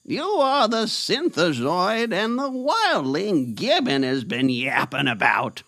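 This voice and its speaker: A gravelly, crazed old wizard. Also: male voice, high voice, very animated, slow pace, pushed and bright, no echo, studio quality, heavily acted. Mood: excited, welcoming. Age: older. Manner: theatrical, punctuated with cackling laughs. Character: eccentric crazed frail old wizard, courtly, theatrical. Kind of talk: fantasy audio drama acting.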